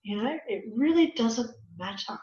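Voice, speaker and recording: A woman in her fifties with a raspy voice, speaking as an interview guest and life coach. She talks in an emphatic, preachy way and often says "you know.". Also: fairly high pitch, expressive, moderate pace, darkish tone. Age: 50s. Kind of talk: earnest personal conversation. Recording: echoey, dull lo-fi audio.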